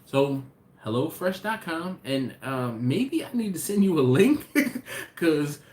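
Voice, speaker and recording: A man's voice: on the high side, sing-song, takes it slowly, darkish tone. A man hosting a casual live stream, reading viewer comments aloud and rambling with frequent restarts. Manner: rambling, talks to viewers directly, restarts often. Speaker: barbecue channel host. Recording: roomy echo, faint ambient noise.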